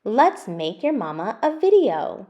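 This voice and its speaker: A woman plays an eager young boy who bosses his friends around in a storybook. His delivery is raised, brisk and emphatic, like someone taking charge. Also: fairly high pitch, very animated, warm tone, lo-fi sound. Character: Grumpy, bossy eager young male film director. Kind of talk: children's storybook character voice.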